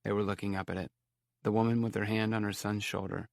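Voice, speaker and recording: A man's voice: fairly low pitch, little pitch movement, fast talker, gravelly, no echo, studio quality. A man reading scripted first-person story narration as an audiobook narrator.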